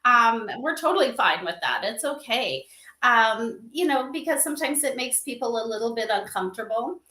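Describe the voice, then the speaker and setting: A woman with a very bright, somewhat high voice talks as an interview guest. She explains informally and with animation, slipping into little example exchanges. The sound is clearly roomy and echoing. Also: lively intonation, moderate pace. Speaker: interview guest, company advisor on AI memorial companions. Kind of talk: casual livestream interview, explaining.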